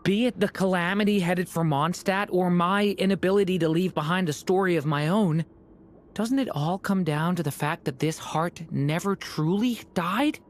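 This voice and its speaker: A woman voicing a gentle, earnest young dragon boy in a video game, tentative in manner. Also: on the low side, moderate pace, a little hiss or hum, natural voice. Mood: hesitant resolve. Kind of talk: video game character acting. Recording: faint music behind the voice.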